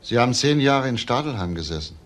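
An actor playing a shrewd senior police inspector in a crime drama, probing and insistent. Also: male voice, mid-range voice, expressive, quick pace, natural voice. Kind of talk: German TV crime drama acting. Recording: faint background noise.

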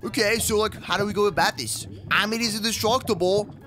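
A man playing an excitable, slangy, wisecracking adventurer in a video game roleplay. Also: high-pitched, fairly quick, music in the background, close to an everyday voice. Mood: sarcastic banter. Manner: slangy, jokey, stammering. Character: excitable, wisecracking young adventurer with a pet companion. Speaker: YouTube roleplay creator. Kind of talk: improvised game roleplay acting.

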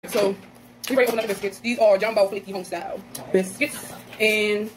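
A woman's voice: medium pitch, steady tone, fast talker. Young woman talking y'all through a recipe in her kitchen, phone mic, pots and pans clinking, noisy. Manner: chatty, addresses viewers as y'all. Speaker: home cooking vlogger. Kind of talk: casual cooking tutorial. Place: home kitchen, indoors. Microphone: phone camera on the counter.

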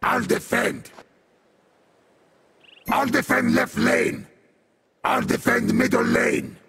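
A man playing a sadistic, vengeful infernal beast warrior in a video game, with a harsh demonic growl and theatrical, deliberate delivery. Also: very high voice, flat delivery, vocal fry, fairly bright tone, slight background noise, heavily acted. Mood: commanding, urgent. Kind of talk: video game character voice lines.

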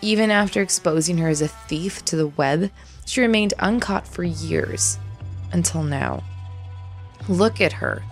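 Woman doing a cold mean lady general, lowish voice, music going behind her. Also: unhurried. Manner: commanding, cruel. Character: cold ruthless female general, middle-aged. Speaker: military general. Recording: music bed underneath.

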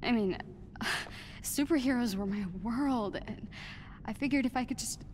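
A young woman playing an insecure, awkward teenage superhero fan in a video game, halting and self-doubting, with a hushed, breathy delivery. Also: on the high side, slight background noise, lightly acted. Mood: anxious, vulnerable. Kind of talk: naturalistic video game acting.

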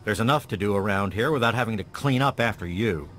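A man's voice: lowish voice, fast talker, fairly bright tone, faint music behind, natural voice. An actor playing a resentful, territorial male lab worker in a video game, with an irritable, scornful, curt manner. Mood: annoyed, dismissive. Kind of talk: scripted game dialogue.

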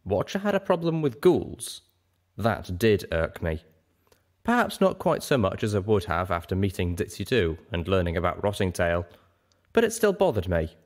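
Deep-voiced, highly expressive male narrator. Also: moderate pace. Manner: theatrical, expressive reading. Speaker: audiobook narrator. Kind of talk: scripted, dramatic fan fiction narration.

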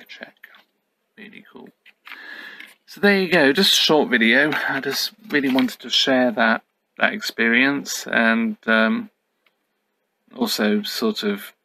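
A man's voice: lowish voice, gravelly, bright, forceful tone, no echo, rough recording. A middle-aged man giving a casual, unscripted show-and-tell, musing hesitantly as he goes. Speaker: YouTube host. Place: indoors at home.

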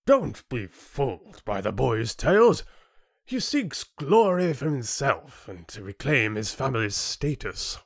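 An older, gluttonous fantasy chieftain, acted out by a male audiobook reader, speaking in a raised pitch. He is pompous and sneering, theatrically dismissive of those beneath him. The sound is close and dry. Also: big pitch swings, unhurried, slightly rough, dry recording, heavily acted. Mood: scornful, dismissive. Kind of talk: audiobook character acting.

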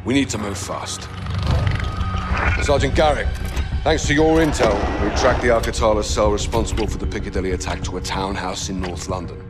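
Casting: actor as male army officer, lowish voice, brisk authoritative briefing over game score. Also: fairly even intonation, over loud music, natural voice. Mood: businesslike, urgent. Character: male military officer giving clipped mission briefings.

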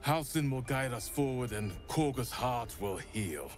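An earnest, grateful druid from a fantasy video game, voiced by an actor, calm and measured in an even middle register. Soft music plays behind him. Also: male voice, medium pitch, lightly acted.

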